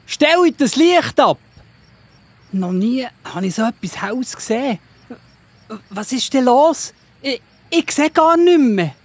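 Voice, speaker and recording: A woman's voice: high-pitched, lively intonation, takes it slowly, pauses often, husky, heavily acted. A woman voicing Saulus, a stern young man, in a children's audio drama, speaking theatrically with a Swiss German accent. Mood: pained. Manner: exclaiming, theatrical.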